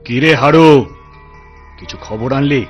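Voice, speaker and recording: A man's voice: mid-range voice, unhurried, husky, faint music behind. A man playing a bossy, scheming bandit chief in a cartoon, with a commanding, scolding manner. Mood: inquisitive. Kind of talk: cartoon voice acting in Bengali.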